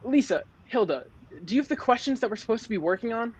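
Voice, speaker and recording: An actor with an American accent playing a sweet, amiable eighteen-year-old lad in a script reading. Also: male voice, very high voice, lively intonation, fast talker, husky, full character voice. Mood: matter-of-fact.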